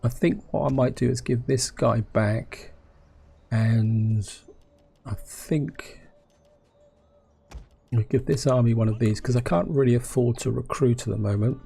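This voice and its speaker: A hoarse man with a cold, musing aloud as he plays a strategy game, often asking himself questions and speaking to his viewers. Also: medium pitch, steady tone, measured pace, pauses often, mellow, soft background music. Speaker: gameplay commentator.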